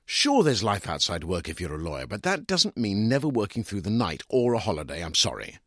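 Male actor as middle-aged English lawyer narrating a story: smug, argumentative, condescending. Very animated pitch, slightly husky, dry close sound. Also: fairly quick, no room sound. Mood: opinionated, dismissive. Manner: opinionated, condescending, emphatic. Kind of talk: dramatised first-person short story reading.

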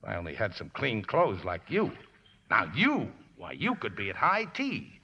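An actor playing a scrappy, good-hearted riverboat skipper with folksy, ungrammatical speech in a 1950s radio drama. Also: male voice, lowish voice, huge pitch swings, normal speed, pushed and bright, heavily acted. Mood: drunk, needling. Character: scrappy, rough-mannered male riverboat skipper, plain-spoken, good-hearted. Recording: muffled, noisy, reverberant old radio recording.

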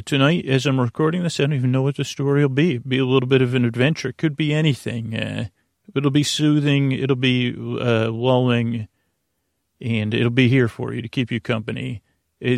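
A man's voice: medium pitch, no room sound, clean studio sound. A man hosting a bedtime podcast in a meandering monologue, stammering over words and losing his thread as he wanders off topic. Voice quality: gravelly, creaky. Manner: rambling, digressive, loses his thread; halting, stammering word repetitions. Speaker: podcast host. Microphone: close, dry podcast mic.

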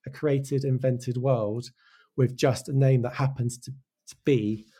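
A man with an English accent, from Wales, giving casual, reflective interview answers as a show creator, often halting and restarting with real conviction. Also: medium pitch, steady tone, mellow, husky. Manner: halting, self-interrupting, passionate about own work.

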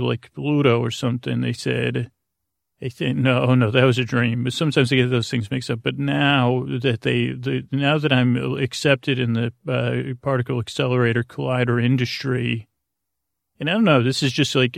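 A man with a rusty, gravelly voice hosting a bedtime podcast, meandering absent-mindedly through halting tangents and often interrupting himself. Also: mid-range voice, no room sound, clean studio sound. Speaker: podcast host. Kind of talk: rambling bedtime monologue.

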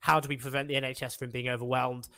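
A man hosting a political discussion, talking in an animated, emphatic way. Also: mid-range voice, quick pace, no room sound, clean studio sound. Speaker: host.

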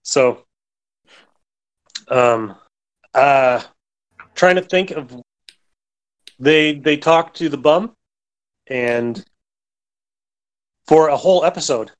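A man's voice: on the high side, very slow, long pauses, rough recording. A man hosting a podcast in casual, unscripted chat. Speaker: podcast host.

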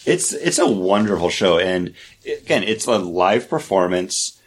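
A man's voice: sits low, animated, unhurried, breathy edge. A man hosting a podcast, chatting casually and joking as he talks about theme parks. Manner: joking, chatty, restarts phrases. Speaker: podcast host. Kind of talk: casual chat.

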